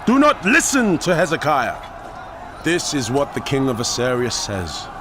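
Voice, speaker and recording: A man's voice: mid-range voice, huge pitch swings, normal speed, a crowd in the background. A man playing an arrogant, taunting Assyrian military commander in a dramatized audio scripture, declaiming with mocking force. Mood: loud, defiant proclaiming. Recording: noisy outdoor ambience behind.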